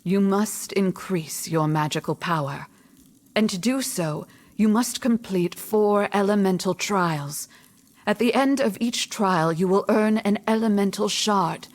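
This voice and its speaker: An actress playing a solemn, all-knowing mystic mentor in a video game, measured and cryptic in manner. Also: female voice, medium pitch, close to an everyday voice. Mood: guiding. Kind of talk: video game cutscene acting.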